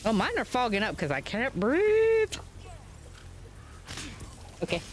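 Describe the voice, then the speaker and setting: A woman's voice: fairly high pitch, wildly swooping pitch. A woman hosting a gaming stream, chatting casually and rambling with fillers as she plays at home. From United States. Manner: rambling, unhurried, with frequent fillers and chuckles. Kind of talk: casual chat while playing a game. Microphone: webcam setup.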